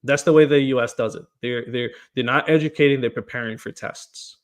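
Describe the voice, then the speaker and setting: A man's voice: mid-range voice, fairly even intonation, fairly quick. A man from Portugal, a political candidate answering interview questions in an earnest way, often repeating words as he starts a phrase. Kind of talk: podcast interview.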